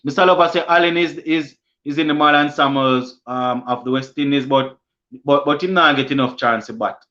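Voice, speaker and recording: A Jamaican man hosting a casual cricket live stream, reading viewer comments aloud and answering them, often halting and restarting mid-sentence. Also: on the high side, fairly even intonation, pushed and bright, dull audio. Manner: halting, many restarts and fillers.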